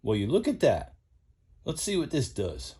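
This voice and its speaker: A man's voice: medium pitch, huge pitch swings, moderate pace, dark tone, slightly rough, exaggerated voice. A man voicing a folksy old male tow truck with a twangy country drawl.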